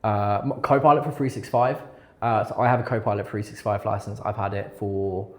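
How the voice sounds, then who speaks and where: A man's voice: lowish voice, steady tone, brisk. A man talking casually as a podcast guest, informal and hesitant, repeating words for emphasis. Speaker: podcast guest, recruitment technology consultant. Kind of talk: casual interview chat. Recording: echoey room sound.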